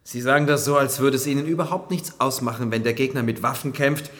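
An actor playing a persistent, confrontational prosecutor in a radio drama, questioning in a probing, insistent manner. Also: male voice, medium pitch, fairly even intonation, fast talker, fairly bright tone, natural voice. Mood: accusing. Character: persistent, confrontational male military-case prosecutor. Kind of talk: German radio drama dialogue.

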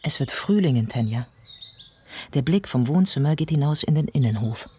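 An actor narrating a radio drama about a writer's life, in a measured, precise and observant way. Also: male voice, medium pitch, expressive, a little mellow, a little hiss or hum, lightly acted. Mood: composed, observant.

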